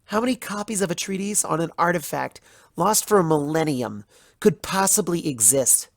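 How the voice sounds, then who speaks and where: A bitter, hurt young pony scholar as a narrator acts her out in a storybook monologue. Her delivery is measured and accusatory, with a creaky edge and a close, dry sound. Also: medium pitch, lively intonation, dry recording, lightly acted. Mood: aggrieved. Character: betrayed, bitter young female pony student, intellectual and hurt. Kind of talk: dramatic fan fiction reading as first-person monologue.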